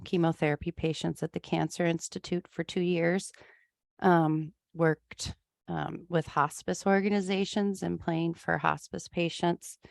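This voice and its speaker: A woman's voice: lowish voice, creaky, dry recording, studio quality. A woman from Iowa, a music therapist being interviewed, answering in an easygoing way with frequent ums. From Iowa, USA.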